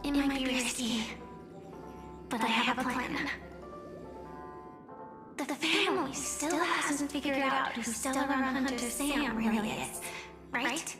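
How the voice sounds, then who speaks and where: Young game heroine, high-pitched, soft-spoken, earnest, determined, music behind. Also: female voice, slow, long pauses. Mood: determined, planning. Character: gentle, determined young woman, a secret warrior. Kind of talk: video game voice acting.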